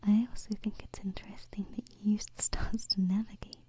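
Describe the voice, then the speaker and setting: Casting: female performer as alien princess for ASMR roleplay. Heavily breathy whisper, mellow and unhurried, close-miked; gentle, playful, a little wistful. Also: medium pitch, flat delivery. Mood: soft, musing. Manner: slow, hesitant, tender, softly teasing. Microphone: close binaural microphone.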